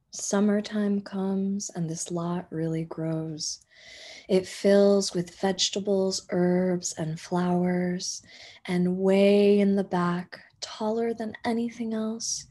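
Female storybook reader, teacher type: husky, slow, gentle and expressive. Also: medium pitch. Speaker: teacher reading a picture book aloud. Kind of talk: expressive children's storybook read-aloud.

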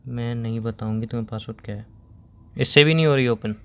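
A young man speaks at a rapid clip in a casual couple chat. His manner is insistent and teasing, as a boyfriend pressing his point. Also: mid-range voice, a bit bright. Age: young adult. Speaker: boyfriend on a phone call.

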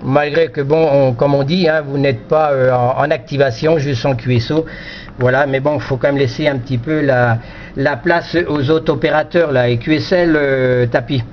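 A man's voice: medium pitch, steady tone, moderate pace. A man from France chatting casually as an amateur radio operator, repeating himself and punctuating phrases with small filler words. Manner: repetitive, punctuates phrases with "là" and "voilà". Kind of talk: casual radio contact chat. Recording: dull lo-fi sound, faint noise.

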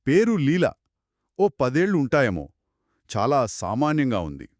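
A man co-narrating a children's moral story in an expressive, conversational way. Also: mid-range voice, a little slow, no echo, studio quality. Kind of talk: conversational two-person storytelling.

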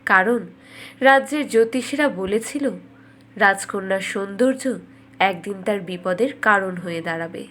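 A woman's voice: mid-range voice, moderate pace, a bit bright. A woman reading scripted fairytale narration for a cartoon as a storyteller.

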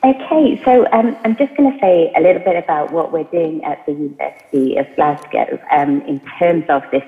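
A woman's voice: low-pitched, normal speed. A woman in her thirties, a lecturer originally from Wales, speaking over a video call with some hesitation. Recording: dull call audio in a hall.

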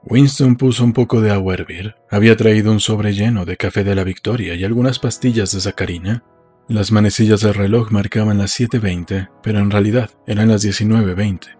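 Male narrator, low pitch, husky edge, scripted literary reading, faint music. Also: a little mellow. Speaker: audiobook narrator. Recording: music behind.

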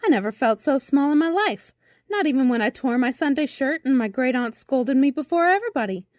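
A woman's voice: fairly high pitch, sing-song, moderate pace, darkish tone, dry recording, clean studio sound. A woman voicing a small, naive, plucky boy with eager questions in a children's storybook. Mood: surprised.

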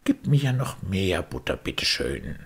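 A man acts out a gluttonous, callous carpenter from a storybook. He is husky and creaky, with an offhand, mock-polite delivery. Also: low voice, very animated, unhurried, heavily acted. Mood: greedy.

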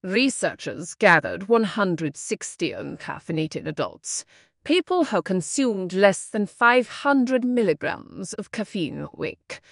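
Slow, creaky-voiced woman reading aloud. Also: medium pitch, big pitch swings, dry recording, studio quality. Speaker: narrator reading model answers. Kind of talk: scripted read-aloud for exam practice.

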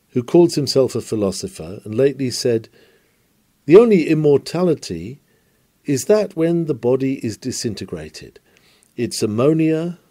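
A man reads a nineteenth-century sermon aloud with the fervor of a pulpit preacher. His voice is mellow and darkly toned, sitting at an ordinary pitch. He drives hard at the exclamations and rhetorical questions. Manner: declamatory, rising emphasis on exclamations. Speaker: reader of a sermon. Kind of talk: dramatic sermon reading.

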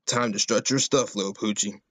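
A man's voice: fairly low pitch, fairly even intonation, brisk, noisy background, lightly acted. A man voicing a folksy, cocky schemer in a comedy cartoon, full of colourful slangy patter. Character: folksy, cocky schemer leading a bumbling duo.

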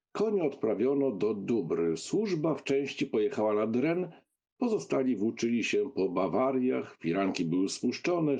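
A man narrating a literary audiobook as an expressive storyteller. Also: on the low side, gravelly, husky.

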